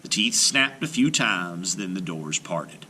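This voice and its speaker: A man's voice: low voice, animated, unhurried, sharp tone, a little hiss or hum. A man reading a folktale aloud to children in a theatrical, emphatic storytelling style. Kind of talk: dramatic children's read-aloud narration.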